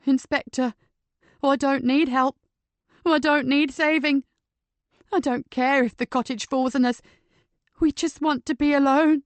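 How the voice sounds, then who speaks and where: A woman's voice: fairly high pitch, little pitch movement, slow, long pauses, a little mellow, no room sound. A woman voicing a grieving male ex-convict, talking strained and close to tears in an audiobook. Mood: bitter. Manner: strained, pleading and near tears. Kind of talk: audiobook character voice.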